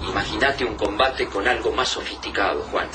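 An actor playing a wary, thoughtful guerrilla fighter in a radio drama, cautious and reasoning. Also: male voice, fairly low pitch, a little fast, lightly acted. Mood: grave doubt. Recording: distant, very noisy radio drama scene.

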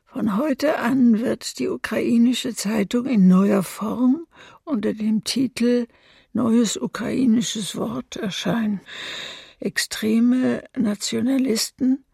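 A woman voicing a stern wartime newspaper editorial in a radio docudrama, formal and measured, with a breathy voice. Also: fairly high pitch, darkish tone, no room sound, studio quality, heavily acted. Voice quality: creaky, breathy. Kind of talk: document reading in radio docudrama.

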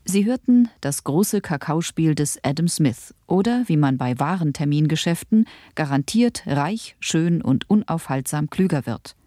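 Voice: medium pitch, animated, measured pace, hardly any pauses, warm tone, no room sound, clean studio sound. An announcer reading the closing credits of a radio play in a scripted broadcast style.

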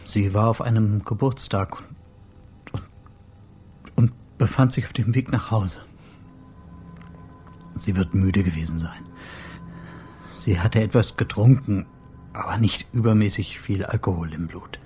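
An actor playing a sober, bereaved husband in a radio play, speaking in a subdued, matter-of-fact and slightly halting way. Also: male voice, lowish voice, darkish tone, faint music behind, natural voice. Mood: grieving, subdued. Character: bereaved husband, a sober man reporting his wife's death.

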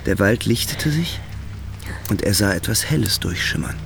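A man's voice: fairly low pitch, lively intonation, moderate pace, vocal fry, over music. A man narrating a radio drama in scripted literary prose.

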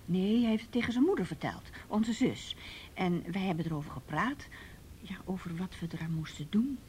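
A woman acting in a radio play as a timid, flustered, chatty neighbourhood lady with a hesitant, confiding, breathy delivery. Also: lowish voice, expressive, normal speed, darkish tone, a little hiss or hum, natural voice. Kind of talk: naturalistic Dutch radio play dialogue.

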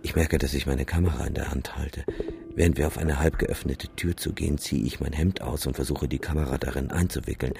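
An actor playing an anxious man who recounts a nightmare in measured, literary radio drama narration. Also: very deep voice, steady tone, creaky, noisy background. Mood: furtive unease. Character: anxious male dreamer with a camera, recounting a nightmare. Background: music.

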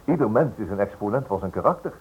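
A man's voice: fairly low pitch, expressive, quick pace, breathy edge, muffled recording. An actor playing a polite, inquisitive male writer who stammers hesitantly over his words in a Dutch radio drama. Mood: earnest. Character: inquisitive, hesitant writer probing a criminal case. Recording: noisy old radio drama recording.

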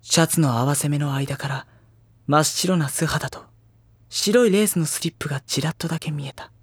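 息混じりのかすれ声で語る男子高校生. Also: male voice, a little high, moderate pace, breathy edge, dry recording. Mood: hushed, restrained. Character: reserved, dry high-school boy narrator, junior to the girls. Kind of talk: first-person narration in anime audio drama.

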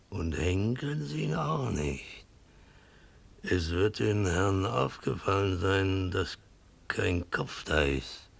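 An actor playing a bitter, broken man in a radio play, sardonic, halting and theatrical in his delivery. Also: sits low, vocal fry, heavily acted. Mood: bitter mockery. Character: bitter, broken man mocking his pursuers over a corpse.

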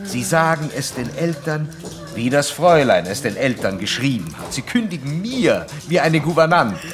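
An actor playing an insistent psychoanalyst who lectures a patient in formal, didactic, old-fashioned German radio drama style. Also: male voice, medium pitch, sing-song, moderate pace, over music, close to an everyday voice. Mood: insistent, analytical. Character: male psychoanalyst doctor, insistent, certain, lecturing his young patient.

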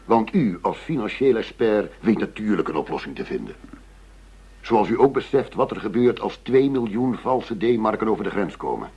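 A blustering police chief in a radio play, with a low voice that swings widely in pitch. He is blunt, fed up and demanding. The recording is dull and muffled. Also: sing-song, normal speed, a little mellow, husky, heavily acted. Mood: irritated, pressing. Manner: brusque, combative, sarcastic. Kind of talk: Dutch radio play acting.

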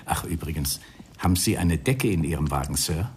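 An actor playing a composed, dogged police inspector in a radio crime drama, speaking politely in measured phrases. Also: male voice, very deep voice, lively intonation, a little mellow. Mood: polite, probing. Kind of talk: 1990 German radio crime drama acting. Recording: radio play with clear background noise.

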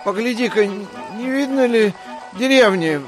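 An actor playing a fussy, flustered gentleman in an audio drama, imperious and stammering as he orders his servant. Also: sits high, animated, normal speed, music in the background. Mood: anxious. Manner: stammering, breaking words, imperious. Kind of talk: audio drama acting of a classic novel.

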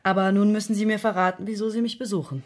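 An actress playing a poised, guarded young professional woman in a radio play, polite, brisk and a little wry. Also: medium pitch, fairly even intonation, lightly acted. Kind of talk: naturalistic radio play dialogue.

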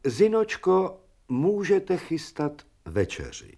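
Imperious, animated older professor. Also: male voice, high voice, creaky, heavily acted. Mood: courtly, genial. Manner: commanding, exasperated, courtly with servants. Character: imperious, irritable older male professor and gourmet. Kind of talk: radio drama acting. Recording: music behind the voice.

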